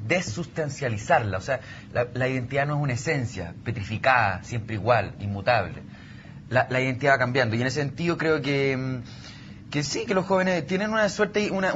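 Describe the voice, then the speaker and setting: A man's voice: medium pitch, fairly bright tone. A man with a Chilean accent discussing ideas on a panel in academic wording, often checking with small tag questions. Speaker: youth panelist. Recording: dull sound with faint background noise.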